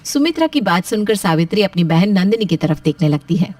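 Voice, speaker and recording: Animated woman storyteller with lively pitch. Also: a little fast. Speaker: story narrator. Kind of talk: scripted storytelling narration.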